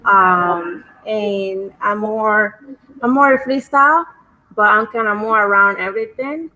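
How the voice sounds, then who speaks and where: Woman, Puerto Rican accent, Spanish native, crisp bright voice, halting with fillers, video call. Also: mid-range voice, muffled recording. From Puerto Rico. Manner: hesitant, many fillers. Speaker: dance teacher and studio owner. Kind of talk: panel introduction.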